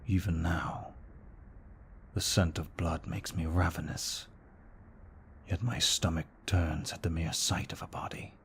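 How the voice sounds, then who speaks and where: A man playing a gentle, remorseful vampire in an ASMR roleplay, speaking slowly and formally in a soft, breathy near-whisper. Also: deep voice, flat delivery, slight background noise. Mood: haunted, sorrowful. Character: brooding remorseful male vampire, gentle and protective. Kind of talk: ASMR roleplay, scripted monologue.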